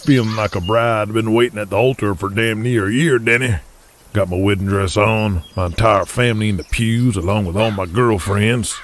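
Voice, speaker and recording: An actor playing a shrewd, folksy old Texas wrestling promoter in an audio drama, with a deliberate, speechifying manner. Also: male voice, on the low side, steady tone, close to an everyday voice. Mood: folksy, insistent.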